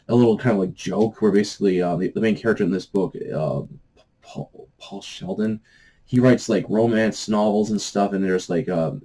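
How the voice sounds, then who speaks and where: A man hosting a book review vlog in a casual, off-the-cuff way, halting and meandering as he searches for words. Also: lowish voice, warm tone, a little hiss or hum. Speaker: host of a book review channel.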